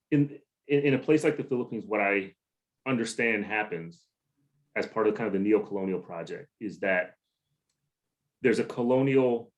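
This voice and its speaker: A man from the United States answering a question in a conversational academic talk, restarting phrases often and using theoretical vocabulary. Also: on the low side. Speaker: academic speaker.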